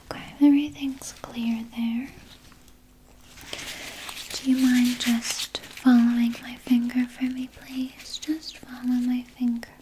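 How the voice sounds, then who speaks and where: A woman voicing a soothing, sly vampire nurse in a gentle, breathy ASMR whisper, reassuring a patient with small hesitant pauses. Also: a little high, a little hiss or hum, low-quality recording. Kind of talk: ASMR personal attention roleplay.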